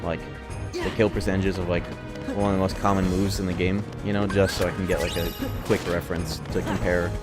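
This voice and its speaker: A man's voice: low voice, flat delivery, a little fast. A man livestreaming a video game, chatting casually with viewers in a rambling, sweary, informal way. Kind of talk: casual gameplay commentary and chat with viewers. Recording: loud game music behind voice. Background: loud video game music.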